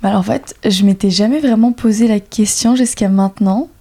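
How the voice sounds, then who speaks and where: A young woman with a mellow, warm voice speaks in a casual conversation. She talks hesitantly, often pausing to search for the right words. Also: mid-range voice. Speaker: younger guest.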